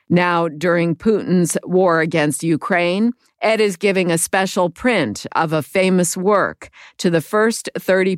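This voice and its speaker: Radio host, woman, lower pitch, steady scripted delivery, close dry mic. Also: no room sound. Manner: measured, read from script.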